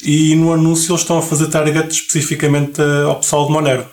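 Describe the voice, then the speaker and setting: A man with a European Portuguese accent co-hosting a podcast in casual chat, relaxed and talkative, often restarting words. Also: fairly high pitch, fast talker. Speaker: podcast co-host.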